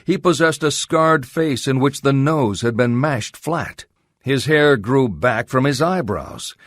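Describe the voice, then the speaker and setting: A man's voice: medium pitch, animated, takes it slowly, fairly bright tone, no echo, clean studio sound. A man narrating a pulp adventure audiobook in a dramatic, scripted storytelling style.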